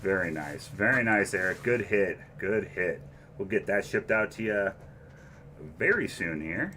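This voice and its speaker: A man's voice: low voice, big pitch swings, unhurried, pushed and bright, slight background noise. A man hosting a live memorabilia break, upbeat and casual, reading chat comments aloud. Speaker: host of memorabilia box-break stream.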